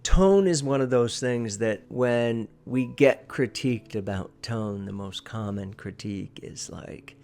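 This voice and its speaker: A man's voice: mid-range voice, unhurried, hardly any pauses, a little mellow, clear, resonant tone. Male presentation coach, emphatic and instructive. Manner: emphatic, repeats key phrases for stress. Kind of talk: informal instructional talk.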